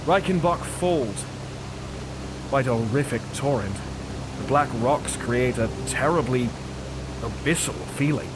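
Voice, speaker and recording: A man playing a kindly doctor and loyal companion in an audio drama, tender and diplomatic in a measured way. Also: medium pitch, natural voice. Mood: awed, brisk. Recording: noisy ambience under the voice.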